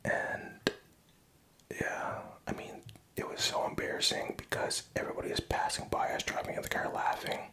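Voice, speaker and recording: A man's voice: normal speed, sharp tone. A young man whispering a rambling personal story, profane and self-mocking.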